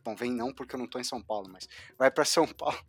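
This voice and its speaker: A woman, chatting in a lively, informal, slangy way. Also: a bass voice, very fast, slightly rough. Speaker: conversation partner. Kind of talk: casual conversation.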